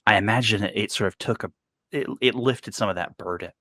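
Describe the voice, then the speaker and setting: A man whose first language is Filipino, hosting a podcast and asking long, relaxed questions over a video call. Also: lowish voice, a little fast, no echo. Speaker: podcast host. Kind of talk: casual interview chat.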